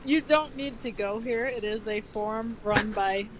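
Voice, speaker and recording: A woman's voice: fairly high pitch, normal speed, heavy background noise. A woman in her twenties co-hosting in casual chat, blunt and sweary, with sarcastic exaggeration. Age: 20s. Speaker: video co-host.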